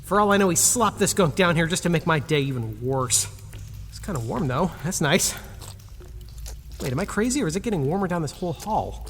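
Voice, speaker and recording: A man's voice: a little high, expressive, fairly quick, a bit bright, long reverb tail, soft background music. An actor playing a grumpy, put-upon young man in an audio drama, muttering, sarcastic and self-pitying. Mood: puzzled, grumbling. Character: grumpy, put-upon young male museum worker, Nick.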